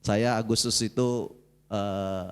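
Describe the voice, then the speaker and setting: A man's voice: on the low side, fairly even intonation, slow, clean tone. A man of about seventy, preaching a conversational, folksy sermon and checking in with his congregation. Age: 69, nearly 70.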